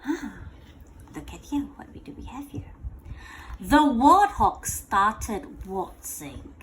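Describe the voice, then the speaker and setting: A woman reading a picture book aloud to a child in a playful, expressive way, drawing out words and asking questions. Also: on the high side, slow, a little hiss or hum, rough recording. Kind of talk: animated bedtime read-aloud with questions to a child.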